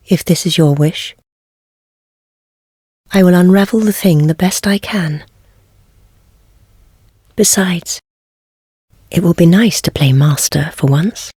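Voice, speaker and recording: A woman playing a wry, world-weary heretical witch in a fantasy game, speaking in measured, archaic phrases with gentle teasing. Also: on the low side, no room sound, clean studio sound, exaggerated voice. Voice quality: husky, breathy. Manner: measured, archaic formal speech, softly teasing. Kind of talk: scripted fantasy game voice acting.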